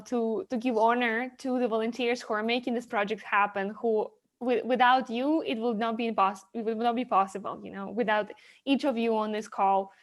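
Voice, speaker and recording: A woman hosting an online volunteer meeting over a video call, thanking people and introducing speakers with many fillers and restarts. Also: a little high. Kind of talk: casual video call chat.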